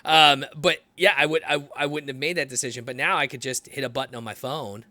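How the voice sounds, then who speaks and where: A man's voice: mid-range voice, fairly even intonation, fairly quick, bright, forceful tone, no echo. A man in his late thirties hosting a podcast, talking loosely and swearing freely as he chats. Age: late 30s. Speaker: podcast host. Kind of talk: casual conversation.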